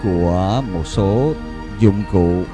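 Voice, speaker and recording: A man narrating a pilgrimage video in a solemn, measured, reverent voice-over. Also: deep voice, loud music behind the voice. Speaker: documentary narrator.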